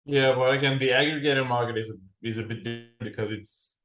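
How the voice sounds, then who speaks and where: A man who founded a freight company, explaining shipping trends as a podcast guest, unhurried and full of fillers and restarts. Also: medium pitch, fairly even intonation, a bit bright. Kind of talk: explanatory business interview. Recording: roomy echo.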